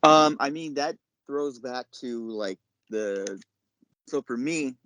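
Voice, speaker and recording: A man, probably middle-aged, talking casually as a podcast guest, explaining things in a halting, reflective way. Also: medium pitch, a bit bright, poor audio. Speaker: podcast guest, chef and caterer. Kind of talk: casual interview chat.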